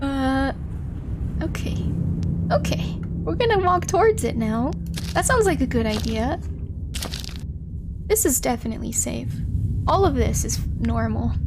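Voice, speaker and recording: A giggly, expressive woman hosting a casual livestream, chatting with viewers and reading a story aloud. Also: sits high, unhurried, long pauses, noisy background. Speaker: livestream host. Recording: music playing behind the voice. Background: background music.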